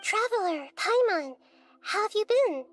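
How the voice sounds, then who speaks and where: A woman voicing a gentle, wise, childlike girl deity in a video game, speaking in a measured, thoughtful way. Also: very high-pitched, expressive, over loud music. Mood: gentle concern.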